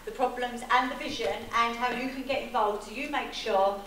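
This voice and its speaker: A woman chairing a public meeting keeps things moving briskly, her voice a touch high. She is heard from a distance in a roomy, echoing hall with faint background noise. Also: a bit bright.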